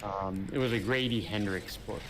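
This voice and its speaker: Casual male gamer, lowish voice, meandering and unsure, heavy game noise in the mix. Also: fairly even intonation, moderate pace. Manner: rambling, hesitant, many fillers. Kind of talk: casual gaming chat. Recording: noisy game audio behind.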